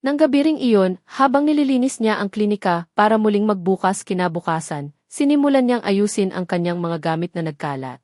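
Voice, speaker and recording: A woman narrator with a Filipino accent delivers a scripted, dramatic story. Her voice sits at a middle pitch. The sound is close and dry, with no room around it. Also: expressive, brisk, a little mellow, dry recording.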